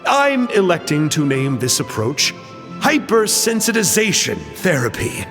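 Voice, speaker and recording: A man's voice: on the high side, wildly swooping pitch, a little slow. A man voicing a pompous, theatrical schemer who feigns friendliness, with a flamboyant drawl, in a video game. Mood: smug explaining. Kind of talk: video game villain-like acting. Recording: long echo, music behind.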